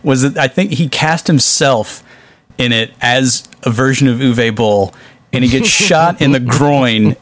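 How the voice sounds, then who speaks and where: A man hosting a casual podcast discussion of films, talking with animated exasperation and swearing freely. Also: mid-range voice, unhurried, dry recording. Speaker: podcast host.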